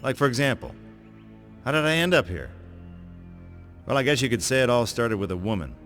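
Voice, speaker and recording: An American man playing a cocky, sarcastic, hard-boiled monster slayer in a video game, with deadpan swagger. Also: medium pitch, fairly quick, soft background music. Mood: smug, wry. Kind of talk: video game voice acting, wry narration.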